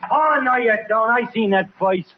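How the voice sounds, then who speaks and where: A man's voice: extremely high pitch, moderate pace. A man playing a cranky, combative street bum with exaggerated slangy speech in a 1940s radio sitcom. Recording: muffled, very noisy 1940s radio recording.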